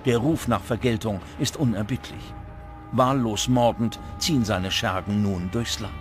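A man's voice: lowish voice, measured pace. Male documentary narrator over music. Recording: music bed behind the voice.

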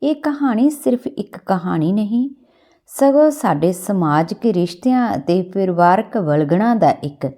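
A woman with a Punjabi accent reading a scripted literary story in an expressive, unhurried way. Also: medium pitch. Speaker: storyteller, host of a story channel.

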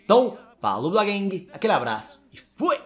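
A young man from Brazil, giving an animated, enthusiastic react commentary from a small home gaming room. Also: sits high, brisk. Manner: animated, gesturing hands, enthusiastic. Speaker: YouTube gaming channel host.

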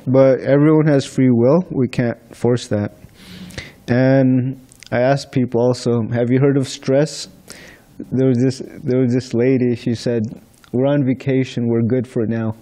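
A young man from the Philippines leads an informal class in a large temple hall, speaking into a stand microphone. He talks in a chatty, storytelling way with a mellow, relaxed tone. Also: mid-range voice, measured pace. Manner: chatty, storytelling with light laughs. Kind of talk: informal scripture class talk. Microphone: stand mic in front of seated speaker.

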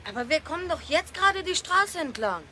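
A bright cheeky boy. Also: extremely high pitch, big pitch swings, a little hiss or hum, full character voice. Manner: cheeky, quick banter. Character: cheeky boy, curious and bold. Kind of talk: children's radio play.

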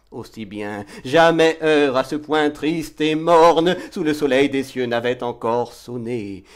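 A man reciting poetry in a dramatic, declamatory and impassioned way, swelling from hushed to forceful phrases. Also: mid-range voice. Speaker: poetry reader.

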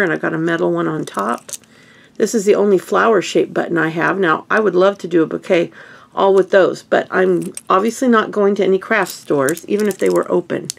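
A woman's voice: fairly low pitch, gravelly. A woman with a stuffy, sniffly nose, chatting through a craft tutorial at her home table, rambling and correcting herself as she works. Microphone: camera over hands on table.

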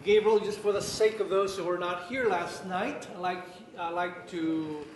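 A man's voice: sits high, fairly even intonation, unhurried. A man hosting in a church hall, introducing the guest with light jokes. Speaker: church host. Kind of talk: introducing a speaker. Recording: echoey hall, light noise.

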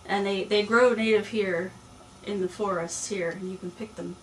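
Calm young woman farmer explaining. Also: mid-range voice, normal speed, a little hiss or hum, poor audio. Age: young adult. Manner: calm, plain, explains step by step. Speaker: mushroom and poultry farmer. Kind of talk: casual interview answers. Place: small cluttered office, indoors.

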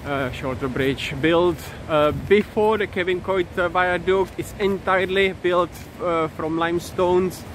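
Non-native English man in his 30s, hesitant walking-vlog host, slightly high voice, phone at arm's length, wind and stream noise behind. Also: a bit bright. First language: not English. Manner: hesitant, many fillers, halting pronunciation. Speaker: vlogger. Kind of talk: casual walking vlog commentary. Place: outdoors in countryside by a river. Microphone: phone held at arm's length.

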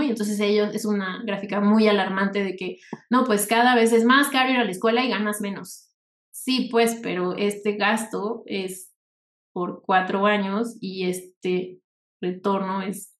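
A woman with a Mexican accent leads a workshop in an informal, conversational way. Her voice sits at an average pitch for a woman, and she explains things while often tagging on rhetorical questions. The recording has a clearly echoey room sound. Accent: Mexican Spanish. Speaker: workshop instructor. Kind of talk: informal spoken lecture over slides.

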